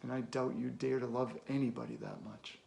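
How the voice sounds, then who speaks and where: A man performing a dramatic film monologue as a weary, blunt middle-aged therapist, deliberate, with weighty pauses and emphatic bursts. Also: mid-range voice, little pitch movement, gravelly, dark tone. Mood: tender, grave. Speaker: actor.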